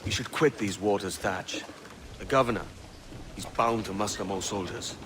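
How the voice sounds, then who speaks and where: An actor playing a blunt, confident young pirate in a video game, speaking directly. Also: male voice, fairly low pitch, moderate pace, noisy background, close to an everyday voice. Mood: reproachful. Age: young adult. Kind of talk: video game cutscene acting.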